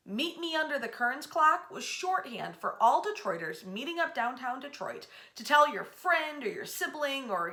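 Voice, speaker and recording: A woman hosting a storytelling series, narrating local history in an expressive, emphatic way. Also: high-pitched.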